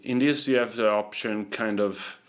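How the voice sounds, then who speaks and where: A man giving a casual, loosely phrased software tutorial as a sound designer. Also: fairly low pitch, steady tone, moderate pace.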